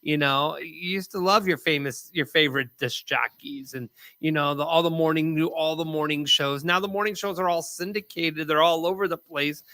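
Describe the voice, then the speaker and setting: Bright, chatty man on a podcast. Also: on the high side, fairly even intonation, normal speed, hardly any pauses, no room sound. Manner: chatty, nostalgic. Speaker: co-host. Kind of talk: casual podcast chat.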